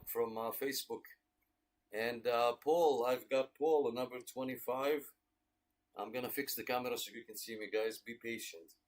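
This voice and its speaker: Friendly man hosting a radio check-in. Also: medium pitch. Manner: friendly, thanking and greeting listeners. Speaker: host of a radio club check-in net. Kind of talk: casual livestream roll call of check-ins.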